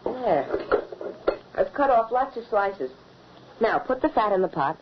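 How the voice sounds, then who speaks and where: A woman's voice: mid-range voice, sing-song, a little slow. A woman playing a plain-spoken young peasant boy, a protective older brother, in an old-time radio horror drama. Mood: brisk. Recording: muffled, noisy old radio recording.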